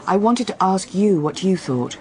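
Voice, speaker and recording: A woman's voice: mid-range voice, expressive, moderate pace, a little hiss or hum, close to an everyday voice. A woman acting in a radio drama as an earnest, restless reporter, articulate but hesitant and questioning. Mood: earnest, frustrated.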